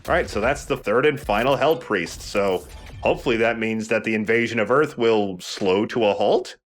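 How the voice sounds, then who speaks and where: A man's voice: lowish voice, normal speed, faint music behind. A man giving casual, explanatory and opinionated let's play commentary.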